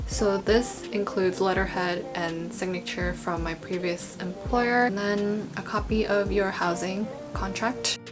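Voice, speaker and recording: A woman's voice: medium pitch, steady tone, a little slow, hardly any pauses. A young woman talking casually and informally as a vlogger, in a chatty, rambling explainer style. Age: young adult. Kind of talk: casual informational vlog talk. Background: background guitar music.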